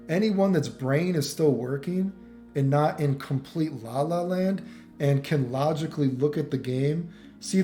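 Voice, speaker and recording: Mellow-voiced man ranting about games, echoey room. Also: on the high side. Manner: opinionated, rambling, sweary. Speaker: gaming video commentator. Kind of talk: casual off-the-cuff ranting game commentary.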